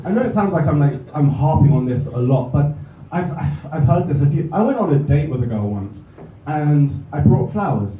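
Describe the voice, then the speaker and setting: A man's voice: on the high side, lively intonation, moderate pace, few pauses, a little hiss or hum. A young English man doing a stand-up set with dry, self-mocking asides, recorded from a distance in a comedy club. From England. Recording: roomy, distant, slightly dull club recording. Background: audience laughter.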